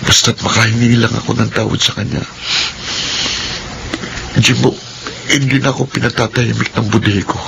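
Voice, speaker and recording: A man's voice: mid-range voice, steady tone, moderate pace, creaky, pauses often. An actor playing a remorseful young man begging forgiveness in a classic radio drama, talking in a pleading way. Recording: hissy old radio broadcast.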